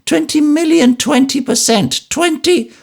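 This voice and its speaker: A man's voice: extremely high pitch, unhurried, gravelly, voice pushed up, full character voice. A man voicing a senile old woman who jabbers shrilly. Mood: frantic. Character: senile shrieking old woman, dozing wife.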